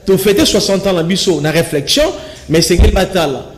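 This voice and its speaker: This young man speaks with a Congolese accent, mixing French and Lingala as a guest on a TV studio set. His voice sits high and he talks in animated bursts, gesturing as he goes and often restarting his sentences. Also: a little fast, a little hiss or hum. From Kinshasa, Congo. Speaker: studio guest, local resident. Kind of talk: studio interview, animated talk. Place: TV studio with screen backdrop.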